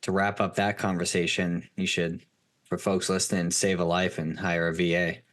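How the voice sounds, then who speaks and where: Deep voiced guy hosting a podcast, informal, rambles a bit into questions. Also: level tone, normal speed, fairly bright tone. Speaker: podcast host. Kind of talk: conversational interviewing.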